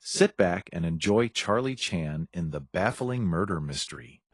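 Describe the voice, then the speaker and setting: A man's voice: low voice, lively intonation, measured pace, a little hiss or hum. A man reading a scripted introduction as host of an old-time radio program. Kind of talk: scripted narration.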